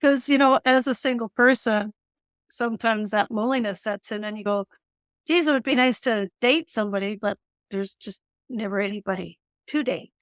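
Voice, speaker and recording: A woman with a slightly squeaky, higher voice, talking on a casual podcast. Her manner is wry and self-deprecating, and the recording is dry and close. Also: moderate pace, dry recording. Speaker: podcast co-host. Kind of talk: casual faith discussion.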